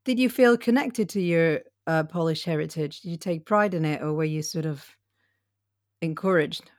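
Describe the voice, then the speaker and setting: A woman's voice: low-pitched, moderate pace, darkish tone, no echo, studio quality. A woman from Italy interviewing in a reflective, conversational way and sharing her own experience.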